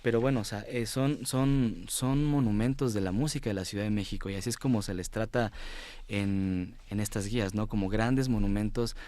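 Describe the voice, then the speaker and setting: A man with a Mexico City accent and a fairly low voice, recorded close and dry. He talks casually as an interview guest, searching for words, repeating them and checking in with short question tags. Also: steady tone, moderate pace, a little mellow, dry recording. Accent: Mexico City Spanish. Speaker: interview guest, music writer and editor. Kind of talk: casual radio-style interview.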